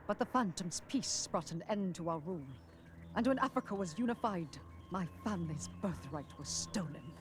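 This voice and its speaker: A woman playing a proud, vengeful African warrior in an animated adventure, declaiming solemnly and formally. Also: on the low side, moderate pace, background noise, natural voice. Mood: proud, bitter. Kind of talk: animated adventure series acting.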